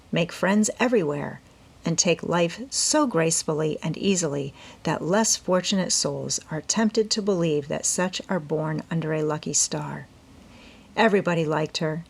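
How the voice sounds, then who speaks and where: A woman's voice: lowish voice, creaky, breathy edge. A woman narrating a classic novel as a scripted audiobook.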